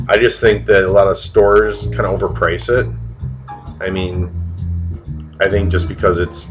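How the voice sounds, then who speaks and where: A man with a cold, sounding a bit nasal, chatting casually and haltingly on camera about records he found, with plenty of fillers and restarts. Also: low voice, poor audio. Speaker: vinyl record collector showing finds. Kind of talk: casual video vlog.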